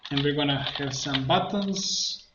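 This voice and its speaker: A man narrating a step-by-step software tutorial, thinking aloud in a halting way as he works. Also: fairly high pitch. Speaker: tutorial instructor, coach. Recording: muffled, roomy, faint noise, keyboard clicks. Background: computer keyboard typing and clicking.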